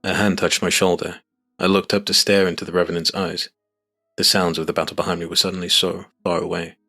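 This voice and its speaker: A man narrates a dramatic first-person story in a low voice. His delivery is scripted, grave and measured, with soft music playing underneath. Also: little pitch movement, gravelly.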